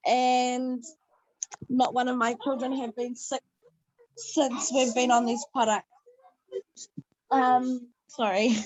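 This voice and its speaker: A woman on a group video call telling her week with hesitant pauses and fillers. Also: high-pitched, dry recording, muffled recording. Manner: hesitant, lots of ums and likes. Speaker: member sharing a story.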